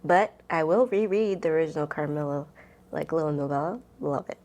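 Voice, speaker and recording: A woman's voice: low-pitched, fairly quick, darkish tone, muffled recording. A woman book vlogger talking casually and informally, rambling and thinking aloud as she answers questions. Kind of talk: casual chatty vlog, answering tag questions.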